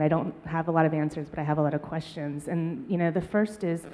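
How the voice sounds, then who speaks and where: Woman panelist, mellow lowish voice, thinks aloud with many ums. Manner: thoughtful, searching, many ums. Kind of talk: conference panel talk. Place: conference hall. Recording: long reverb of a hall.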